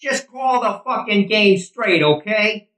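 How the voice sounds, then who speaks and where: An actor playing a hot-headed, combative middle-aged softball player who drinks beer, profane and sarcastic, in an audio drama. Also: male voice, high voice, animated, slow, full character voice. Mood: angry, combative. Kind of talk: naturalistic audio drama acting. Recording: roomy, echoing sound.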